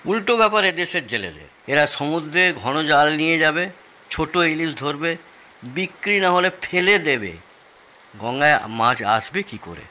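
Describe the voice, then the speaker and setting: A man's voice: fairly high pitch, lively intonation, a little slow, sharp tone. An actor playing a jovial, proud older Bengali father-in-law in an audio drama, explaining things expansively and with relish. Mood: earnest, critical.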